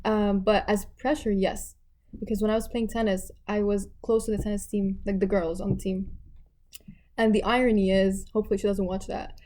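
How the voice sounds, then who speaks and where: A woman's voice: medium pitch, fairly quick. A young woman from Abu Dhabi, a guest on a podcast, chatting casually and acting out the people in her stories. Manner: animated storyteller, acts out quoted voices. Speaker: guest, tennis player.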